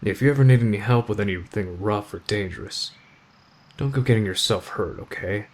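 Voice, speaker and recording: A man acting in an ASMR roleplay as a gruff, aloof teenage delinquent, deadpan and terse but protective of a friend. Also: fairly low pitch, a little fast, close to an everyday voice. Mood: gruffly caring.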